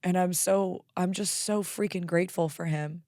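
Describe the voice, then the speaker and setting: A young woman with a raspy, hoarse voice talks candidly and with some profanity as the host of a solo podcast. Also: fairly low pitch, normal speed, no room sound, studio quality. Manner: candid, profane, animated with laughs. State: hoarse from karaoke singing.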